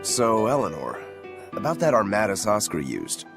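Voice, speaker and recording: A man's voice: on the low side, big pitch swings, moderate pace, over music. An actor voicing a laid-back young swordsman in game dialogue, talking in a casual, wry, blunt way. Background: game score.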